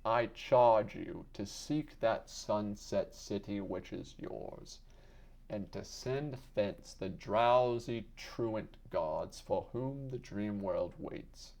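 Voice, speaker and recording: A man giving a solemn, deliberate, theatrical reading of literary fiction as an audiobook narrator. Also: on the low side, creaky, a little mellow. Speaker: audiobook reader.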